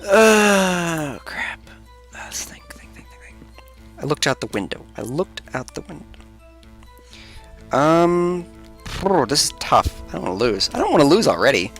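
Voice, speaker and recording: A man's voice: fairly high pitch, big pitch swings, unhurried, pauses often, a bit bright, soft background music. A man giving casual gameplay commentary, muttering and whispering frustrated asides to himself. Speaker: let's-play host.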